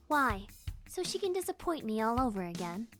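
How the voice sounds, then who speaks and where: High-pitched, bitter teenage girl. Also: big pitch swings, normal speed, a bit bright, faint music behind. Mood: hurt, dejected. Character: fiery, hurt, bitter teenage girl, sharp-tongued student. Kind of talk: voice acting for a dating-sim game.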